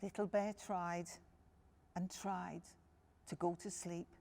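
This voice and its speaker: A woman tells a picture-book story to small children at a very unhurried pace. Her voice is a little low, with plenty of creak, and she addresses her young listeners directly in simple phrases. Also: flat delivery, warm tone.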